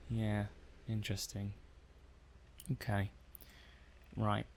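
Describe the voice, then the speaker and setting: Man acting a low, creaky-voiced curious lab technician. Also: slight background noise. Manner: hesitant, musing, thinking aloud while taking notes. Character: curious male robot-testing technician, methodical and intrigued. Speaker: roleplay performer. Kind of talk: ASMR roleplay, soft-spoken improvised monologue.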